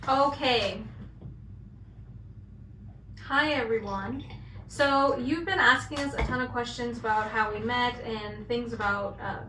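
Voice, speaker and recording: A young American woman chatting casually as a vlog co-host while coloring, talking in an upbeat way and using "like" often. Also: fairly high pitch. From United States. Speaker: vlogger co-host. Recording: echoey room, distant mic, faint hiss.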